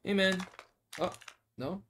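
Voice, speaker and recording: A man's voice: high voice, slow pace. A young man streaming a mobile shooter, chatting loosely with viewers, reading chat aloud and swearing casually into a headset mic. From Philippines. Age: young adult. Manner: laid-back, rambling, reads chat aloud, swears casually. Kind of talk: casual livestream chat while playing. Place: small room indoors. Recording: game audio noise behind voice. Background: game sounds and clicks.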